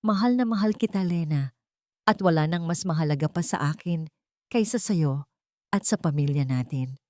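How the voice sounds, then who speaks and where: A woman's voice: low voice, animated, moderate pace, mellow, no echo, studio quality. A woman with a Filipino accent voicing a repentant male billionaire, earnest and devoted, in a romance story. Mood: earnest pleading. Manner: earnest, solemn vowing.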